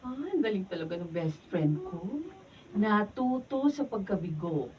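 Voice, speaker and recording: A woman's voice: mid-range voice, sing-song, a little slow, slight background noise. A woman with a Filipino accent acting a supportive young best friend in a radio drama, encouraging and chatty. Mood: admiring. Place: radio drama recording session. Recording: distant, dull camera audio.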